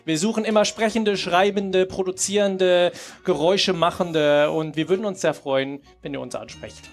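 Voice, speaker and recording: A man's voice: sits high, moderate pace, soft background music. A man presenting his group in an informal announcement from a stage. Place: outdoor festival stage.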